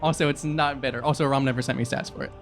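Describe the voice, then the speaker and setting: A man's voice: mid-range voice, steady tone, very fast, creaky, soft background music. A man bantering casually as a player in a tabletop role-playing game, with a joking manner.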